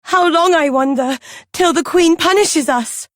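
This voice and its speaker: An actress playing a terrified village woman in a video game, speaking in halting, dread-filled phrases. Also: very high-pitched, a bit bright, no room sound, clean studio sound. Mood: fearful. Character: terrified village woman living under a monster's rule.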